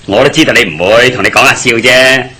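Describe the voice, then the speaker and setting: Chatty, bright-voiced husky village fellow. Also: male voice, mid-range voice, fast. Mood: cheerful, teasing. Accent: Hong Kong Cantonese. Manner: chatty, inquisitive, colloquial. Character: chatty, good-natured Cantonese village man, nosy and joking. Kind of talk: Cantonese radio drama acting.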